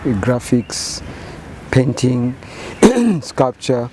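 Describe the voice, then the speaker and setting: A man in his fifties with a Zambian accent, answering interview questions in measured, halting phrases with many repeated words. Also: medium pitch, big pitch swings, mellow, a little hiss or hum. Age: 50s. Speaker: painter and photographer interviewee.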